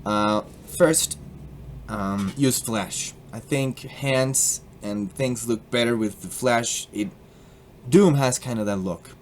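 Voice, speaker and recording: A young man with a Colombian accent casually explaining a software tutorial, joking and slipping into put-on accents. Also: mid-range voice, takes it slowly, a little hiss or hum. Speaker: tutorial host.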